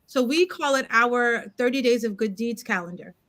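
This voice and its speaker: A talkative woman co-hosts a casual live chat, often breaking into laughter and catching herself mid-sentence. Her voice sits a touch high and rings bright and forward. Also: normal speed. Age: adult parent of a seven-year-old. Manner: chatty, laughs easily, self-correcting. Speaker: co-host.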